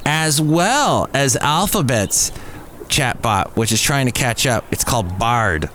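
A man hosts a casual podcast in a middle-pitched voice. He chats informally as a solo presenter, with faint noise behind him. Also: expressive, slow pace, fairly bright tone. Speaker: podcast host.

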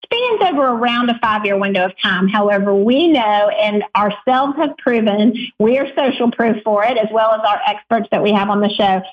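A woman's voice: medium pitch, expressive, moderate pace, few pauses. A woman co-hosting a radio show, bubbly and playful, laughing often as she introduces the episode. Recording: dull lo-fi audio.

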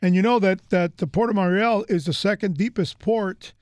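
A man's voice: sits high, moderate pace, a little mellow, no echo, studio quality. A man from the United States hosting an interview in a rambling, chatty way, full of fillers and restarts. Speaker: host. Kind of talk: casual interview chat.

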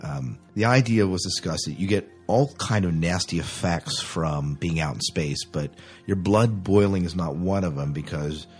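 A man with a low-pitched voice hosts a casual podcast. He talks in a rambling, joking manner. Faint music plays behind him. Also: normal speed. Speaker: podcast host.

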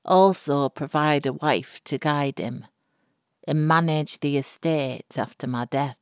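Kobieta jako chłodna, wyrachowana starsza matrona, powolna mowa. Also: female voice, sits low, smooth, dry recording, studio quality. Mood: measured persuasion. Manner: slow, measured, genteel condescension. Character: cold, scheming older wealthy matriarch, smugly menacing.

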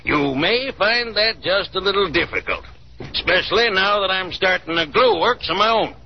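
A man's voice: high voice, animated, normal speed, gravelly, slight background noise. An actor playing a stern, scornful elderly businessman in a 1930s radio drama, blustering and sarcastic. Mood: scornful, gloating. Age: older. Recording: muffled 1930s radio broadcast recording.

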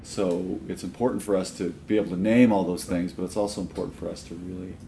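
A man's voice: low-pitched, fairly even intonation, fast. A man facilitating a small group meeting, speaking to the participants. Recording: echoey room with clear background noise.

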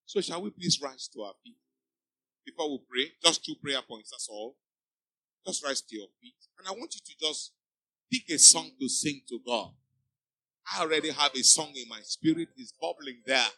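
A man's voice: a little high, animated, normal speed, bright, forceful tone, no echo, low-quality recording. A man preaching a sermon to a church congregation. He exhorts the listeners with emphatic, repeating refrains. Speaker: pastor. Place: church before a live congregation.

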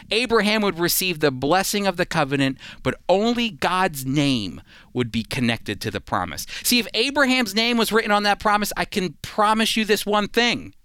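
A man's voice: high-pitched, sing-song, moderate pace, sharp tone, clean tone, no room sound, clean studio sound. A man teaching the Bible on a radio program, emphatic, asking his listeners rhetorical questions.

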